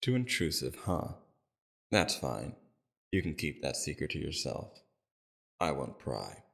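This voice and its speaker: Guy doing a calm civil villain leader for audio roleplay, super deep voice w lots of gravel, measured and courteous. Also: exaggerated voice. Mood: gentle, amused. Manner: civil, measured, softly probing.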